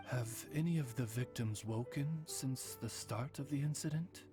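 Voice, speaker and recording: An actor voicing a composed, courteous and learned gentleman in a video game, with formal, measured wording. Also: medium pitch, over music, lightly acted. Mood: thoughtful. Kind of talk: scripted video game voice acting.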